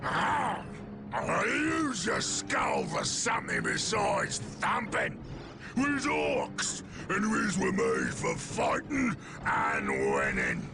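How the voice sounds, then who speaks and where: Orc warlord, video game. Brutish, domineering, slow heavy growl thick with gravel, crude grammar, loud score behind. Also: male voice, very deep voice, wildly swooping pitch, full character voice. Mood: scolding. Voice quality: guttural growl. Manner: crude broken grammar, barked orders. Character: brutish, bossy male orc warlord bullying his mob. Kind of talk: video game cutscene acting. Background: game soundtrack music.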